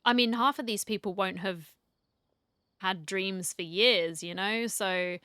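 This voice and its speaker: A woman's voice: medium pitch, measured pace, pushed and bright, clear, resonant tone, no echo, clean studio sound. A woman streamer giving casual, playful, teasing commentary as she plays through a game. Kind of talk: casual reaction commentary, reading dialogue aloud.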